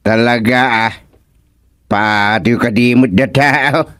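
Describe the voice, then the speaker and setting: A man with an Ilocano accent playing a comical, excitable older village husband in a radio comedy-drama, full of exclamations and chuckles. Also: mid-range voice, clear, resonant tone, no room sound, clean studio sound. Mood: chatty. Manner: exclamatory, chuckling, drawn-out reactions.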